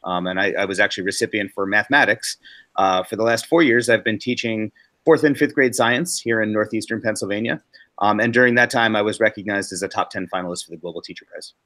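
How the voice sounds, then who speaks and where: An American man in his forties talks fluently in a slightly low voice, reflecting on his teaching with self-deprecating humor. The recording is dry and close, with no room sound. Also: fast, sharp tone, no echo. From United States. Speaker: guest teacher. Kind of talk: webinar interview answers.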